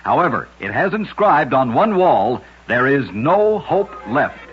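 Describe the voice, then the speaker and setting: A man's voice: medium pitch, big pitch swings, unhurried, highs cut off. A man narrating short scripted oddity stories as a radio host, in a dramatic, emphatic 1940s storytelling style, on an old radio recording.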